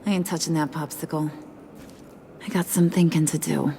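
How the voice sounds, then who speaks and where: An actress voicing a sneering, bossy villain in a video game, with slangy, sardonic delivery. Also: female voice, sits low, fairly even intonation, normal speed, creaky, warm tone. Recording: faint background noise.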